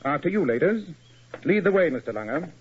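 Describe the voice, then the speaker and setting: An actor playing a cool, precise detective in a 1940s radio drama, old muffled recording. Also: male voice, fairly high pitch, very animated, fairly quick. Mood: calm. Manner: crisp, precise, courteous. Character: sharp, cool-headed male detective.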